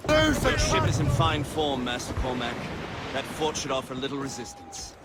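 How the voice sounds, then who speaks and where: A colonial officer in a game cutscene. He is middle-aged, composed and precise, with a formal turn of phrase. Clear outdoor ambience runs behind his mid-pitched voice. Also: wildly swooping pitch, moderate pace, heavy background noise. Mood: calm, advising. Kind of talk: video game voice acting.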